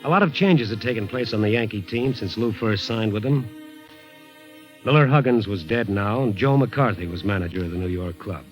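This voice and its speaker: A man's voice: lowish voice, expressive, highs cut off, faint music behind, natural voice. A man playing a jovial wisecracking best friend. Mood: reflective. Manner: brisk, jovial. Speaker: narrator and character. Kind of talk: 1940s radio acting.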